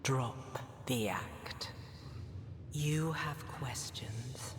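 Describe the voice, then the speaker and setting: A woman playing an ancient demon queen in a game cutscene, regal and coolly menacing, taunting in slow, deliberate half-whispers. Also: full character voice. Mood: menacing, seductive. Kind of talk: dramatic video game cutscene acting. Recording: cavernous reverberant hall, clear rumble behind.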